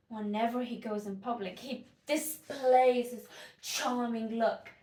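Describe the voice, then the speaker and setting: A woman's voice: high-pitched, darkish tone, voice pushed up. A woman playing an opinionated, indignant young woman in a classical stage monologue, dramatic, emphatic and sardonic. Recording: echoey room, distant microphone.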